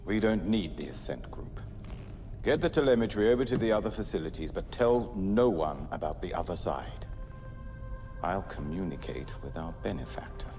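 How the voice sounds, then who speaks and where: Cold male villain boss for a game: very low voice, terse orders, cavernous reverb. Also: lively intonation, moderate pace, music in the background. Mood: cold, dismissive. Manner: dismissive, terse, commanding. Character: cold, secretive male mastermind giving orders. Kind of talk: scripted video game dialogue. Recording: long hall reverb.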